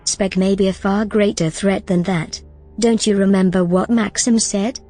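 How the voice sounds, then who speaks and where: A woman playing an AI companion, speaking calmly, precisely and reassuringly. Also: medium pitch, normal speed, soft background music. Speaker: AI companion character. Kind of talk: audio drama acting.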